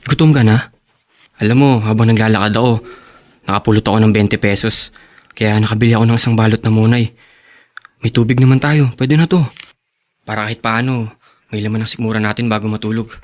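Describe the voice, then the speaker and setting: A man's voice: on the low side, fairly even intonation, fairly quick, long pauses, lightly acted. An actor playing a poor, earnest teenage boy in an audio drama, sincere and plain-spoken, devoted to his love. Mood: weary, caring. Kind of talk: Tagalog audio drama acting.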